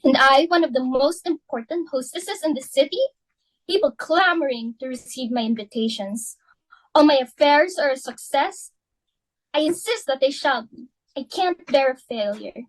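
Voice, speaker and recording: A woman's voice: high-pitched, moderate pace, lightly acted, poor audio. A woman playing a domineering, socially ambitious mother and hostess in a dramatic reading of a stage play, with an imperious, melodramatic, scolding manner. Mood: furious, indignant.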